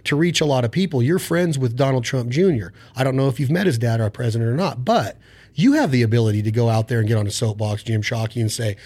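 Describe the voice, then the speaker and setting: A man's voice: medium pitch, fast, darkish tone, husky. A man hosting a podcast interview, asking long rambling questions with enthusiasm. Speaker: podcast host.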